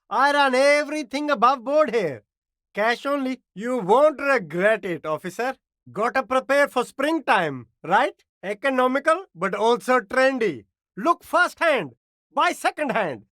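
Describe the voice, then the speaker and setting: An actor playing an immigrant street vendor in a video game, an eager, defensive hustler with ingratiating, evasive sales patter. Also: male voice, very high-pitched, sharp tone, no room sound, clean studio sound, heavily acted. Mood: eager, salesy. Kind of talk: video game character dialogue.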